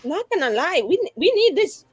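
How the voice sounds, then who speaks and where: A woman's voice: very high voice, big pitch swings, normal speed, no room sound, pitched above the performer's own voice. A woman playing a tipsy, indignant young woman, ranting with sarcasm and swearing in a tabletop game.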